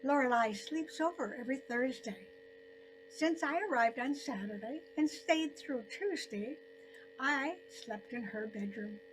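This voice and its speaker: Slow-spoken elderly woman telling a story. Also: high voice, faint music behind, rough recording. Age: 70s or older. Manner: deliberate phrasing with frequent pauses. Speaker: storyteller narrating own story. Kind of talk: scripted personal story narration.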